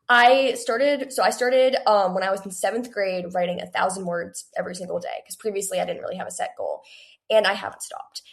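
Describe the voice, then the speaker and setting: Young adult female, medium pitch, eager, rambling, casual interview guest. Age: late teens, young adult. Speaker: author, podcast guest.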